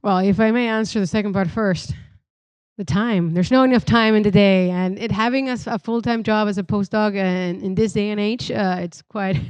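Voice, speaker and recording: A woman's voice: medium pitch, a little mellow, no echo. A woman in her thirties from Argentina, a Spanish native speaker with an Argentinian accent, thinking aloud on a live panel with many fillers as she searches for words. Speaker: podcast co-host.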